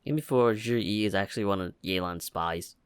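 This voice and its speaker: A man with a fairly low voice comments casually as he plays. He sounds like a gaming YouTuber, dropping short, informal reactions. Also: fairly quick, smooth.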